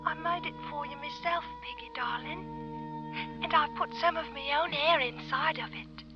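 Woman acting a high-voiced tender young sweetheart. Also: normal speed, natural voice. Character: tender young sweetheart, female, softly devoted. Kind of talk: 1940s radio drama acting. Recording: muffled old radio, music behind.

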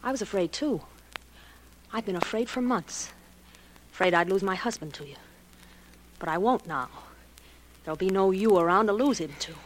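An actress in a 1940s radio drama playing a jealous wife who makes cool, deliberate threats. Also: female voice, medium pitch, expressive, lightly acted. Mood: bitter triumph. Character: jealous, menacing wife holding a gun. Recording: noisy old 1940s radio recording.

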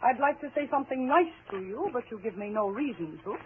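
Cold, high-voiced scheming wife played by an actress. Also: female voice, expressive, fast, lightly acted. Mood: scornful, menacing. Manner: biting, sarcastic, commanding. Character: cold, scornful, domineering murderous wife. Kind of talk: 1940s radio mystery acting. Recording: scratchy, tinny old radio broadcast.

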